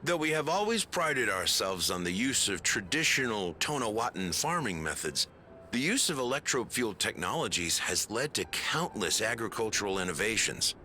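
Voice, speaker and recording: Deep, bright-voiced village farmer. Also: male voice, very animated, moderate pace, slight background noise. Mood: courteous. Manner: polite, formal, gently wry. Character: hospitable, dutiful village farmer showing visitors around. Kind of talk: scripted video game voice acting. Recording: music behind the voice.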